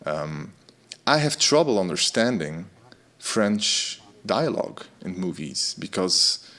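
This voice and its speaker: A man's voice: low-pitched, very animated, slow pace, pushed and bright. A man whose first language is Dutch, chatting on a video call with many restarts and emphatic stresses. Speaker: host. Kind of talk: casual video-call chat.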